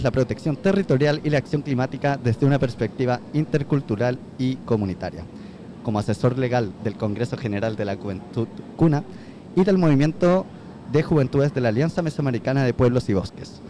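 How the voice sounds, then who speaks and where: A man moderating a live conference panel, courteous and brisk as he hands the microphone from speaker to speaker. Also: mid-range voice, dark tone, a little hiss or hum. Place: conference venue.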